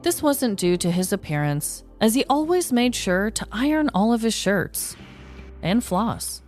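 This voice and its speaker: A young adult woman co-hosting a podcast, chatting casually and telling a story, animated with quick laughing asides. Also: fairly high pitch, soft background music. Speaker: podcast co-host. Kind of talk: casual chat and scripted storytelling.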